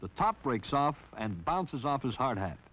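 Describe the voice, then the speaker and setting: Man, film narrator, wry and teasing, husky, lively pitch, lo-fi 1960s soundtrack. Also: a little slow. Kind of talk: scripted humorous narration.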